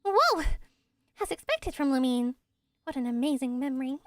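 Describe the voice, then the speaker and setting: A woman voicing a chirpy, blunt little female fairy companion in a fantasy game, excitable and speaking of herself in the third person. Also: high voice, moderate pace, no echo, studio quality. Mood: excited, puzzled.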